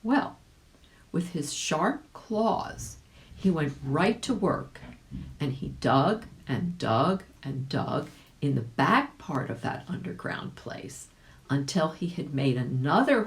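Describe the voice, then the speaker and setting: A woman reading a children's story aloud in an expressive, animated way, addressing young listeners directly. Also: low voice, slow. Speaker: volunteer audiobook reader.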